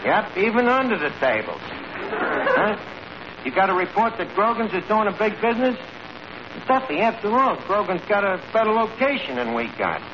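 An actor playing a brash, scheming tavern manager in a 1940s radio comedy, with a New York accent and a bluffing, word-mangling way of talking. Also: male voice, sits high, sing-song, moderate pace. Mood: bluffing, flustered. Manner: bluffing, mangles grammar and fancy words. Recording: noisy muffled old radio broadcast, audience behind.

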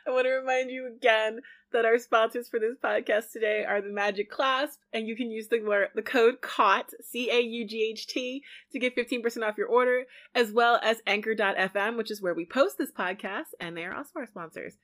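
A woman co-hosting a casual podcast, talking in a chatty, animated way and laughing easily. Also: fairly high pitch, a little fast, hardly any pauses. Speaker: podcast co-host.

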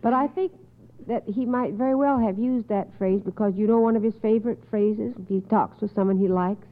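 Female radio quiz host, medium pitch, formal and encouraging, thin vintage broadcast sound. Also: brisk. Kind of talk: live radio quiz hosting. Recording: tinny, narrow old radio broadcast.

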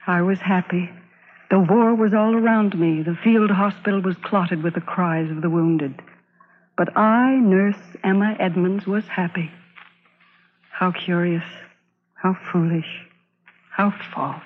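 An actress playing an earnest, wistful Civil War army nurse in an old-time radio drama, with dramatic, measured, prim delivery. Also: female voice, lowish voice, slight background noise, lightly acted. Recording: old radio recording, thin phone-like band.

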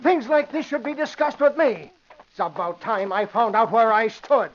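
A man's voice: very high-pitched, normal speed, a little hiss or hum. A man playing an irascible, blustering newspaper city editor in 1940s radio drama style. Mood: indignant, blustering. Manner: blustering, indignant, emphatic. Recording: muffled vintage radio recording.

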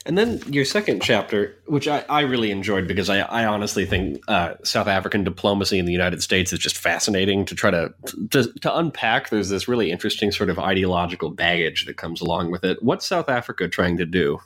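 A man's voice: low-pitched, animated, a little fast, a bit bright. A man hosting an interview, asking about a book chapter with enthusiasm, stumbling a little over his words. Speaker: interview host. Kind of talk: interview question.

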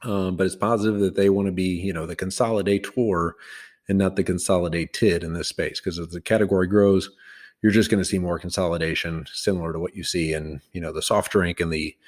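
A man's voice: sits low, steady tone, a little fast. A man hosting his own podcast, talking loosely through stock news with many fillers and restarts. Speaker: podcast host. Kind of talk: casual stock commentary.

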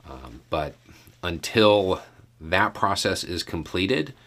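A man with a very low voice hosts a casual news commentary. He speaks slowly and in a measured way, leaving deliberate pauses as he works through each point. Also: animated, fairly bright tone. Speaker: podcast host commentator.

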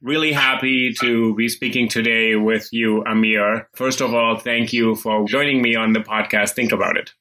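A man's voice: mid-range voice, normal speed, hardly any pauses, poor audio. A man whose first language is German, hosting a podcast and interviewing a guest in a thoughtful, earnest way. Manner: thoughtful, earnest, lively when chatting. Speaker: podcast host. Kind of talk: interview and scripted intro.

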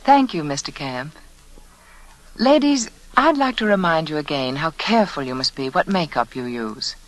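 A woman's voice: low voice, expressive, moderate pace. Woman doing an old 40s radio ad, beauty advice, voice moves up and down a lot. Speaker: sponsor's beauty spokeswoman. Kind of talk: scripted 1940s radio commercial. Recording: old 1940s radio broadcast.

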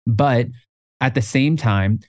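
A man in his twenties co-hosting a podcast in casual chat, talking in an animated way and jumping higher when he quotes himself. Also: slow, dry recording, studio quality. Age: 20s. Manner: animated, jumps up in pitch when quoting himself. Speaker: podcast co-host.

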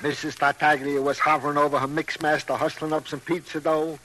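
An earnest, long-winded police sergeant in a vintage radio drama. His voice sits fairly high for a man, and his phrasing is stilted, roundabout and ungrammatical. He comes across as simple-hearted and plodding. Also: little pitch movement, fairly quick, pushed and bright, poor audio. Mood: earnest, rambling. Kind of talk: 1950s radio crime drama acting.

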